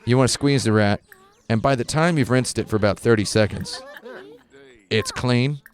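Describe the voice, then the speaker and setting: A man in his late thirties co-hosting a game stream, spinning absurd jokes in a deadpan way over casual chat. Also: mid-range voice, moderate pace. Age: 30s. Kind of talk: casual joking chat while playing. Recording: music playing behind.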